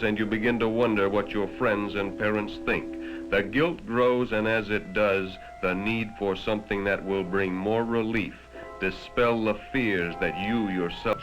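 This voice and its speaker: American man, lowish voice, solemn cautionary narrator, dramatic scripted delivery, vintage 1960s film soundtrack, clear music behind. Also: lo-fi sound. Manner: grave, dramatic, moralizing second-person address. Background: prominent music.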